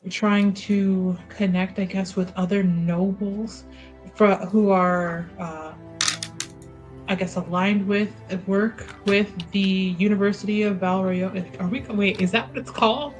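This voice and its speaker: A woman running a tabletop game, narrating in a measured, hesitant way with fillers as she recalls details. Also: mid-range voice, steady tone, dull audio, faint music behind.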